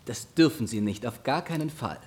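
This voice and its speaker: An actor playing a charming, flirtatious gentleman with a persuasive, theatrical delivery in a German radio drama. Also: medium pitch, lively intonation, moderate pace, close to an everyday voice. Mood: coaxing, pleading.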